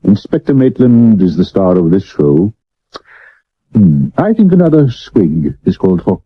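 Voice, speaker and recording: A man playing an urbane, self-assured Victorian gentleman sleuth in a period radio drama, dry and witty. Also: deep voice, big pitch swings, unhurried, husky, full character voice. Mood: wry, assured. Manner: dry, witty, commanding, lofty. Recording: muffled 1970s medium wave radio recording.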